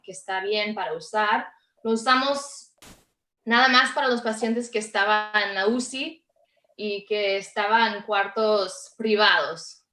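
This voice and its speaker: A woman from Canada speaks slowly in non-native Spanish, searching for words as a panelist. Her voice is bright, and it comes through an echoey online call. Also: mid-range voice. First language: not Spanish. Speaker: healthcare panelist. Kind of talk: unscripted panel answer.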